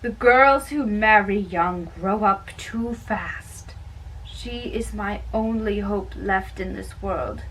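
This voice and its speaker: A woman doing an amateur dramatic reading of a play, haltingly, often repeating and correcting her lines. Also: mid-range voice, a bit bright, distant mic, slight background noise, rough recording.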